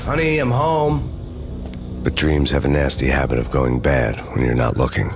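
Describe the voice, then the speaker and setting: A deep, brooding noir cop. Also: male voice, moderate pace, vocal fry, noisy background, full character voice. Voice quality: deep, flat, world-weary. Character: grim hard-boiled male cop, middle-aged, haunted. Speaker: detective narrator. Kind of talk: noir voice-over narration.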